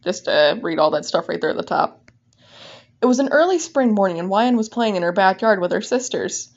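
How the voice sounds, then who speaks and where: A woman's voice: mid-range voice, expressive, brisk. A woman casually reading her own fan fiction aloud, informally breaking off to comment on it. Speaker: fan fiction writer and reader. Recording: dull, lo-fi microphone sound.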